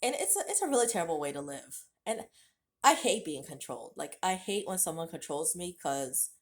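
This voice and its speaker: A woman's voice: deep voice, expressive, brisk. A woman, telling her life story candidly to her viewers in a casual vlog. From United States. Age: late 30s. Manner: candid and conversational, direct address to viewers. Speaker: vlogger. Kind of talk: personal storytelling to camera.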